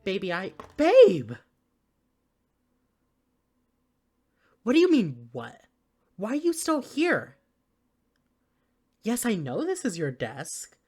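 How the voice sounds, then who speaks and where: A woman's voice: mid-range voice, darkish tone. A woman playing a worried, exasperated partner in an intimate audio roleplay, speaking to the listener with earnest, caring reproach. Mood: exasperated, scolding. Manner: earnest, pleading, caring scolding.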